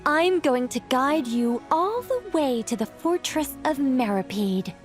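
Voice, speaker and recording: A gentle former-angel witch for a video game. Her voice is high, and she speaks like a proud, theatrical storyteller, lyrical and polite, over soft background music. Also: expressive, a little slow. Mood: indignant.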